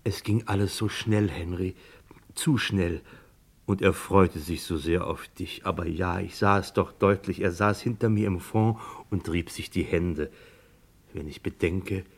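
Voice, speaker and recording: An actor playing an anxious, simple-minded sidekick in a German radio crime play, husky, hesitant and worried. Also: male voice, sits low, unhurried, full character voice. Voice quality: creaky, husky.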